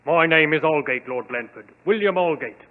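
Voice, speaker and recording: An actor playing a bitter, defiant man, theatrical and forceful in 1940s radio style. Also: a little high, expressive, normal speed, close to an everyday voice. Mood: bitter indignation. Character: aggrieved, defiant British claimant to a family inheritance. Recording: echoey, tinny vintage radio recording.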